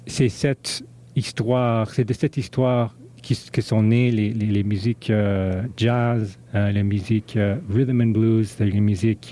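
Hesitant low-voiced man, mellow tone. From Canada. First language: English. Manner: hesitant, many fillers and restarts. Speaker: DJ guest. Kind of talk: interview conversation.

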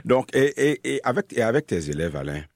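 A man's voice: mid-range voice, very animated, a little fast, darkish tone, no echo. A man hosting a radio poetry show from the studio, chatty and joking with his guest. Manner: chatty, jokey, laughs often. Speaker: radio host. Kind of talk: radio interview. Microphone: studio mic.

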